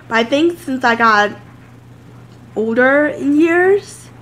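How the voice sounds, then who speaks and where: A woman's voice: high-pitched, expressive, slow pace, fairly bright tone, a little hiss or hum. A woman in her thirties talking casually to camera in a home office, rambling and chatty, sounding congested and a bit nasal. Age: 30s. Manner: rambling, chatty, many fillers. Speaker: vlogger. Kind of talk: casual sit-down chat to camera.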